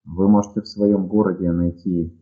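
Guy from ukraine, really low voice, sounds like it's coming through a phone line, answering listener questions slow and careful. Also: level tone, dark tone. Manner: measured, pausing with fillers. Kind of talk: live radio call-in answers. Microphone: headphones with headset audio. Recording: phone-like narrow audio.

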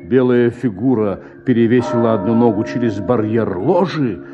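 A man's voice: medium pitch, normal speed, muffled recording. A man narrating a literary short story for radio in a dramatic storytelling style. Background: music.

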